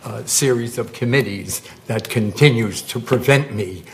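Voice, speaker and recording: An older man, a board member, raising a formal objection at a community board meeting, measured and indignant and halting with frequent "uh". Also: medium pitch, a little hiss or hum.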